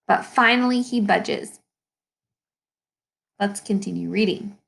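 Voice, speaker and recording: A woman speaking as an elementary school teacher, reading a children's picture book aloud in an expressive, instructive way and pausing to explain and ask questions. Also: medium pitch, vocal fry.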